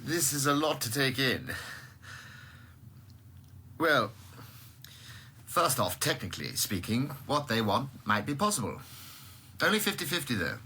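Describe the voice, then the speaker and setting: An actor playing a blunt, sceptical, irritable British scientist of high standing in a radio drama. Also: male voice, big pitch swings, measured pace, pauses often, bright, forceful tone, slight background noise, exaggerated voice. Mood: considered, doubtful. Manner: blunt, plain-spoken.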